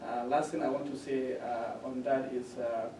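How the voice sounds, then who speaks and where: A man on a university panel giving measured advice, pausing often with fillers. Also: mid-range voice, fairly even intonation. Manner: measured, with frequent pauses and fillers. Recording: echoey room from far off, with noise.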